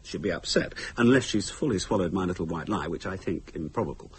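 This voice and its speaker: An actor playing a wry, articulate young British husband who teases morbidly in a radio thriller. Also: male voice, sits low, animated, fast talker, slight background noise. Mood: sombre recollection. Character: sardonic, teasing young British husband, educated and morbidly playful. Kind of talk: radio thriller drama dialogue.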